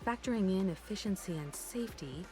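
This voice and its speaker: An actress playing a calm, polite young woman in a game, speaking in a measured, formal way. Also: mid-range voice, soft background music, natural voice. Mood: calm, matter-of-fact. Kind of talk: game drama dialogue.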